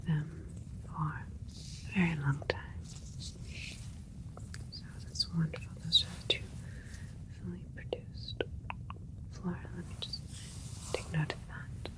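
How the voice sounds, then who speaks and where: A woman playing a gentle alien scientist doctor in a whispered ASMR sci-fi roleplay, speaking soothingly in a breathy voice with unhurried, halting phrases. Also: deep voice, rough recording.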